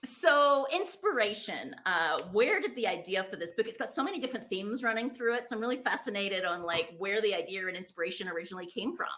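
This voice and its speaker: A woman's voice: mid-range voice, lively intonation, quick pace, few pauses, fairly bright tone. A woman from Scotland, hosting a casual interview in a chatty, effusive, informal way. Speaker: host, interviewer.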